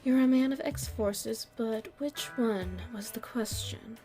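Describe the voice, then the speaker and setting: Casting: actress as shrewd, proud mob headliner, female, higher voice, haughty and taunting, ASMR roleplay drama, music underneath. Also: unhurried, mellow, close to an everyday voice. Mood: coolly analytical. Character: shrewd proud nightclub headliner and businesswoman in the mafia.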